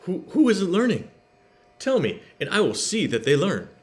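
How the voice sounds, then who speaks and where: A man's voice: high-pitched, wildly swooping pitch, voice pushed up. A man reading the part of an earnest, enthusiastic male school principal in a children's storybook, with emphatic delivery. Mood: worried. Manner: emphatic, proud, drawn-out praise.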